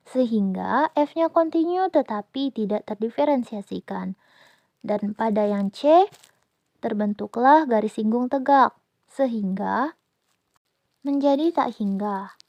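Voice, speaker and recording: A young woman, a university student, presenting a calculus lesson by reading each formula aloud in a steady, step-by-step way. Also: high-pitched. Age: young adult. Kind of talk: reading a math explanation.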